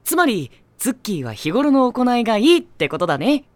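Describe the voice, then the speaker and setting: A man's voice: high-pitched, huge pitch swings, brisk, dry recording. A man voicing a meek, chirpy teenage sidekick with a deferential manner in an anime audio drama. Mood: admiring.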